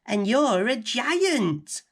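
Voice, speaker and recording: A man voicing a brave, kind little girl from a storybook, expressive and urgent. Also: very high-pitched, very slow, voice pushed up, exaggerated voice. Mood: frightened, gasping.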